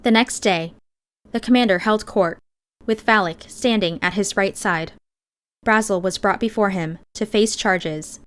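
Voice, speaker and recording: A woman's voice: mid-range voice, a little slow, clear, resonant tone. A woman reading scripted first-person fiction as an audiobook narrator.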